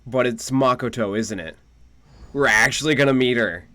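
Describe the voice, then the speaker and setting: A man's voice: medium pitch, normal speed, bright, forceful tone. A man streaming a video game, giving casual live commentary and musing aloud. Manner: muses aloud, repeats phrases, casual swearing.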